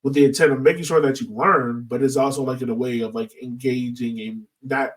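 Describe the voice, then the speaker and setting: A man's voice: mid-range voice, fairly even intonation, fairly quick. Man a little far from the mic. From southern United States. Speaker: sports podcast speaker. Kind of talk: casual discussion. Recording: distant from the mic.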